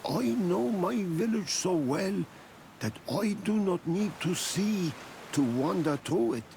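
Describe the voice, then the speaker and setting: A blind village gatherer in a video game, proud yet sunk in despair. He speaks slowly and solemnly in a fairly high, gravelly voice, halting as he goes. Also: animated, darkish tone, slight background noise, full character voice. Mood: mournful. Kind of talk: video game cutscene acting.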